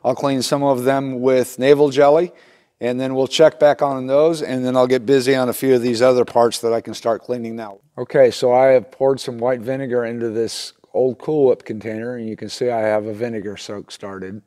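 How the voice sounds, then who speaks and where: A man's voice: mid-range voice, steady tone, moderate pace, mellow. A middle-aged man talks casually through a repair step by step, in a plain-spoken, folksy way as he works. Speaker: instructor in a how-to repair video. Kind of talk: casual hands-on demonstration talk. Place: small wooden workshop at a workbench, indoors.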